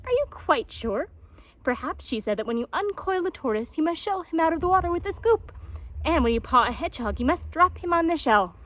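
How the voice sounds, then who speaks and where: Casting: a woman as prickly little hedgehog trickster, male, storybook style, high and bouncy, insistent. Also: animated, a little fast, a bit bright. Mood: mock doubting. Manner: insistent, teasing.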